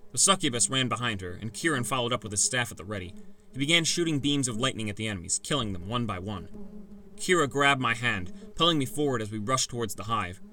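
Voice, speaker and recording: A man narrating a first-person fantasy audiobook as a scripted story. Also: medium pitch. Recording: loud music bed.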